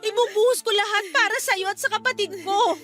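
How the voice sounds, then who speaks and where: A woman's voice: very high voice, a little fast, fairly bright tone, faint music behind. A woman playing a devoted eldest daughter, a young student, pleading tearfully and firmly in a radio drama. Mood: tearful, tender. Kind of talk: Tagalog radio drama acting.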